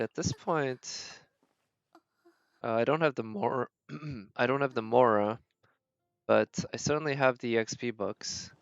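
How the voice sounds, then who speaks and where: A man's voice: fairly low pitch, creaky, clean tone, no echo, lo-fi sound. A man giving casual, rambling gameplay commentary as a streamer, thinking aloud as he plays. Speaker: game streamer.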